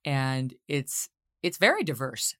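A woman hosts an interview in a low-pitched voice. She speaks forcefully and underlines her points through repetition, recorded dry and close with no room sound. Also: sing-song, slow pace, dry recording. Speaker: podcast host. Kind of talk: podcast interview.

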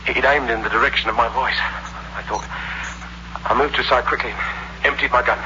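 An actor playing a desperate young ex-convict in a 1960s radio suspense drama, with a menacing, tense delivery. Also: male voice, mid-range voice, fairly even intonation, brisk, sharp tone. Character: desperate young male ex-convict robber, narrating his crime. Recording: muffled, very noisy old radio recording.

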